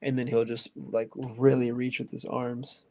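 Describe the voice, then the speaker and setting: A man's voice: medium pitch, flat delivery, a little slow, gravelly, darkish tone, slight background noise. A man in his twenties chatting casually on a livestream, rambling loosely with lots of fillers. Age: 20s. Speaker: livestream host answering chat. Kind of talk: casual chat.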